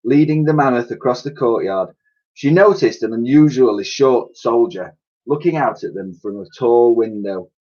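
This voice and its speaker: A man reading a children's story aloud in an animated, expressive storytelling style. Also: medium pitch, normal speed, highs cut off.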